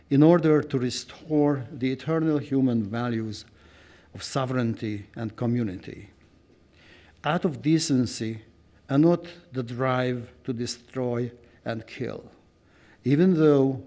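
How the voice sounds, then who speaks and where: An older man gives a formal prepared speech in a solemn, deliberate manner. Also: mid-range voice, darkish tone. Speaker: event speaker introducing guests.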